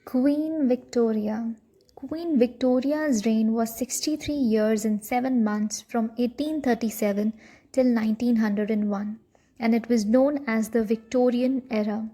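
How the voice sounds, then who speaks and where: A woman's voice: fairly high pitch, measured pace, dark tone. A woman reading scripted educational narration for a short history film.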